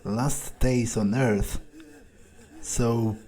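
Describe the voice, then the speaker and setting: Man, medium pitch, in-character game commentary, thinking aloud, understated, musing, hesitant. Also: steady tone, very slow, dark tone. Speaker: gameplay video creator.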